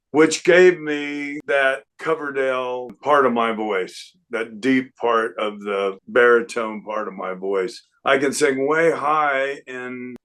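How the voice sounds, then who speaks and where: An older man, a guest singer, chatting casually in an unhurried, rambling way with lots of fillers. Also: medium pitch, a bit bright. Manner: breaks into sung snippets. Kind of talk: casual interview chat.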